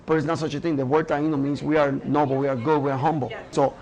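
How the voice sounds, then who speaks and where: Earnest boxer, fairly high voice. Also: male voice, level tone, fast, darkish tone, lo-fi sound. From Puerto Rico. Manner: earnest, candid, often adds "you know what I mean". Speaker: boxer and gym owner. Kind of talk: documentary interview.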